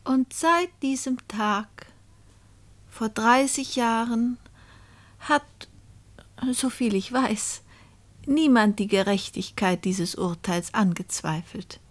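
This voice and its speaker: An actress playing a reflective older woman who narrates a radio crime play in a deliberate, confiding manner. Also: on the high side. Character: reflective woman narrator recalling an old murder case.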